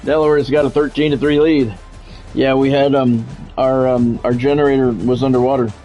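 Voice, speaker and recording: A man's voice: medium pitch, little pitch movement, moderate pace, warm tone, faint music behind, poor audio. A middle-aged man doing live football game commentary mixed with casual chat, talking in a blunt, profane, conversational way. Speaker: game streamer and play-by-play commentator.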